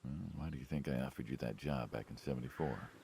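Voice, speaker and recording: A man playing a wry, needling mob hitman in a game, gravelly and probing, like an old friend asking questions. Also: very deep voice, fast, mellow, slight background noise. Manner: wry, probing, drawling sarcasm. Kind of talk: naturalistic video game dialogue.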